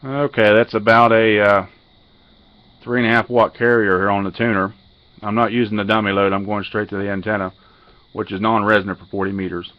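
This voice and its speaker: A man giving a casual hands-on technical walkthrough as a radio hobbyist, halting and matter-of-fact, picked up on a handheld camera mic. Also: fairly low pitch, flat delivery, no echo, muffled recording. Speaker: amateur radio hobbyist demonstrating a modified radio.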